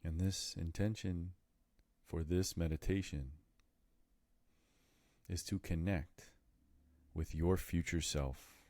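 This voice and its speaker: Very deep-voiced man guiding meditation. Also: steady tone, darkish tone, no room sound. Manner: soothing, measured, deliberate phrasing with pauses. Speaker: meditation guide. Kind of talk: guided meditation.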